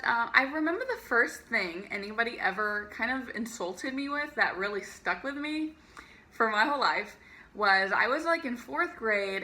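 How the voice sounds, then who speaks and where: A young woman telling a personal story to camera in a chatty, peppy way, laughing often and using lots of fillers. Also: pushed and bright. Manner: peppy raised voice over a lower natural one. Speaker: vlogger. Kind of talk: casual storytime monologue.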